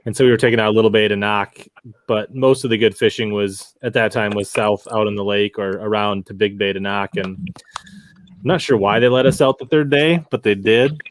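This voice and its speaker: Man, lowish voice, casual angler storytelling, podcast guest, faint noise. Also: steady tone, normal speed.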